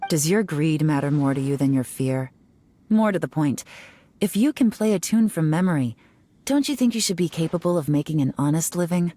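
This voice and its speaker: A woman's voice: low-pitched, expressive, fairly quick, warm tone. An actress voicing a self-assured woman investigator who speaks in a dry, confident, teasing manner. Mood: cool. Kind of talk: video game voice acting.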